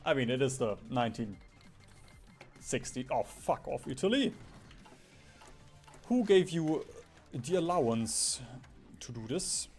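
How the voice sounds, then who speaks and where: A man's voice: medium pitch, animated, slow pace, long pauses, a little mellow. A man doing casual gaming stream commentary in a rambling, jokey way, swearing casually. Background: background music.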